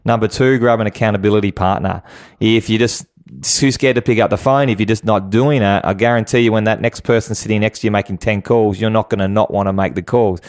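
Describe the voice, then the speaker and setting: A man's voice: lowish voice, steady tone, brisk, no room sound, studio quality. An Australian man giving conversational advice as an interview guest and business coach.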